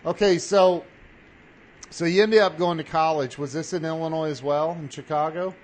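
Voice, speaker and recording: A man hosting a casual interview, excitable and quick to react with surprise. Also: a little high, slight background noise. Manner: excitable, says dude and wow a lot. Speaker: interview host.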